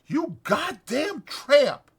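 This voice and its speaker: A man voicing an anxious, grieving old ranch hand in an audiobook, with folksy rural speech and a whining, drawn-out delivery. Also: very high voice, big pitch swings, voice pushed up, full character voice. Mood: bitter anger. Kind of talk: dramatized audiobook character voice.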